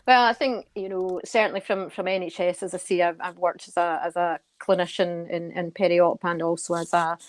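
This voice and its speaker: A middle-aged woman giving thoughtful, measured interview answers, often repeating a word as she goes. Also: lowish voice, no echo. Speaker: guest, senior nurse and charity committee member.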